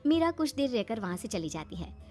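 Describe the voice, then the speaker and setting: Woman storyteller for a funny cartoon, high voice, bit of music behind. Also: sing-song, brisk, a little mellow. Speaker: narrator. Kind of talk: scripted comic story narration.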